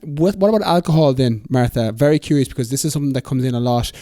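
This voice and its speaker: Man, hoarse, dark mellow tone, dry close sound. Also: medium pitch, quick pace, no room sound. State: losing his voice, has a cough. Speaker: podcast host. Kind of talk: conversational podcast interview.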